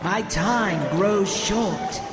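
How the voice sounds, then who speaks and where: Echoing, very slow spirit guide played by a man. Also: high-pitched, a loud crowd. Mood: solemn. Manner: measured, commanding. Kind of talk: theatrical audio drama acting. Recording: long cavernous reverb, music behind.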